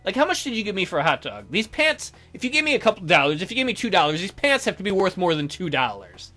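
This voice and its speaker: A man reads a visual novel aloud for a let's play with theatrical, joking delivery. His voice is high-pitched and bright, with wide swings, over soft background music. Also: big pitch swings, quick pace. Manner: theatrical, jokey.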